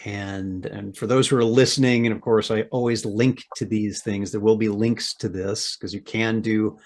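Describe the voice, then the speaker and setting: A man's voice: fairly low pitch, steady tone, normal speed, a little mellow. A man hosting a mindfulness podcast, introducing and questioning his guest in a friendly, conversational way. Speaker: podcast host. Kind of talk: podcast interview.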